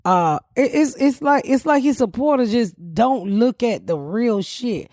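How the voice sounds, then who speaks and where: A woman comedian with a deep voice, hosting a casual podcast and talking bluntly with lots of swearing. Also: lively intonation, measured pace, darkish tone, no room sound, clean studio sound. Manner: brash, profane, blunt. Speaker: comedian host.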